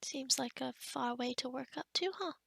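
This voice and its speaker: Breathy, high-voiced young witch played by a woman. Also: full character voice. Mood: curious, gentle. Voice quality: breathy, whispery. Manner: gentle, hesitant, with small stammers and pauses. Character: kind, sheltered young forest witch, gentle and socially awkward. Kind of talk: soft-spoken ASMR roleplay, half whispered.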